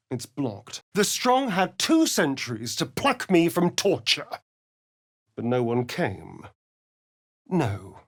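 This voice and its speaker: A man's voice: fairly high pitch, heavily acted. An actor playing a sardonic, flirtatious male vampire spawn elf in a video game, with a theatrical, teasing drawl.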